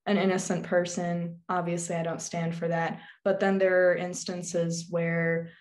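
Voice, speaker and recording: Teen girl guest, low-ish voice, hesitant and reflective, webcam sound from a small bedroom. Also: little pitch movement, measured pace, darkish tone. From England, also Turkey, Azerbaijan and Alaska. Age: teens. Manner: thoughtful, hesitant with many ums. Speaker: guest, witchcraft YouTuber. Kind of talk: casual interview answers. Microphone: video call webcam.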